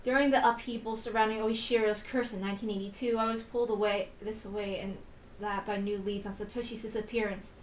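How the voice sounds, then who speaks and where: A woman's voice: medium pitch, fairly even intonation, brisk, a little hiss or hum. A woman streamer reading a visual novel aloud, moving between narration and casual commentary. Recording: roomy echo, distant mic, music behind.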